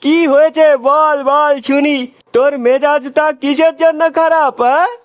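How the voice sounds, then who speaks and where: A man's voice: extremely high pitch, flat delivery, measured pace. A man with a Bangladeshi accent voicing a teasing young male friend in a cartoon. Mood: curious. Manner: teasing, needling. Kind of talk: cartoon voice acting.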